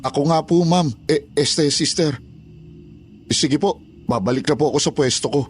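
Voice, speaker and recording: A man's voice: on the high side, moderate pace, faint music behind, natural voice. A man playing a friendly, respectful orphanage night guard in a dramatized horror story, with a polite, hesitant manner. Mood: respectful, flustered.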